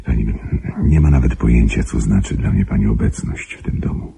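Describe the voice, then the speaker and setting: An actor playing a brooding, persuasive wealthy gentleman in a radio play, husky, halting and intensely pleading. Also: slight background noise, full character voice. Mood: tender, anguished. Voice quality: very deep, gravelly, husky. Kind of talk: Polish radio play acting.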